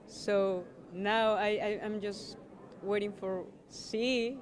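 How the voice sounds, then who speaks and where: A film cast member, not a native English speaker, answers casually and hesitantly at a middling pitch. Clear crowd chatter is heard behind. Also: steady tone, slow. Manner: hesitant, searching for words. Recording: crowd chatter in press area.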